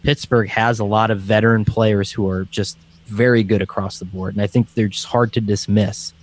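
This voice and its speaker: A man's voice: fairly low pitch, flat delivery, normal speed. A young man with a Scranton, Pennsylvania accent, co-hosting a casual sports podcast and rambling with lots of fillers. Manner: rambling, hedging with many fillers. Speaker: podcast co-host.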